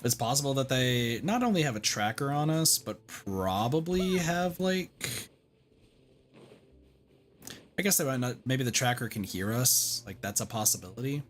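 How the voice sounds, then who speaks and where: A man's voice: medium pitch, expressive, normal speed, few pauses, soft background music. A man giving casual livestream commentary on a game, muttering to himself and swearing mildly. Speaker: game streamer.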